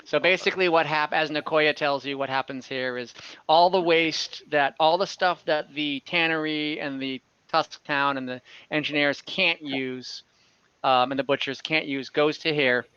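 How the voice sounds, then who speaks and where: Bright, crisp-voiced man running a game. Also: on the high side, fairly even intonation, moderate pace, no room sound. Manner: rambling, filler-heavy, self-correcting. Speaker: dungeon master. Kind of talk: describing scenes in a tabletop game. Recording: slightly dull lo-fi online audio.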